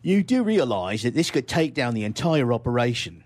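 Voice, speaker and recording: A man's voice: medium pitch, very animated, normal speed, a little mellow, lightly acted. A man voicing an irritable, exasperated chief executive in a satirical short story read aloud for radio. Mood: irritated. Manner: tense, irritable. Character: Lucifer, dangerously calm, exasperated male corporate chief executive.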